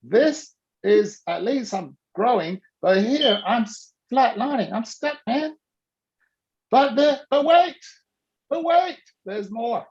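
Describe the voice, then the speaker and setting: A man coaching a participant on a video call, animated and teasing as he prods them by name. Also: extremely high pitch, slow, dull audio. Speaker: business coach.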